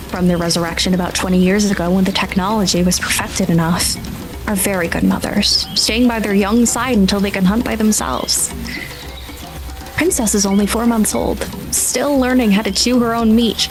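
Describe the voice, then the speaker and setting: A woman working as game master, narrating atmospheric tabletop scenes in a dramatic storytelling manner. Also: mid-range voice.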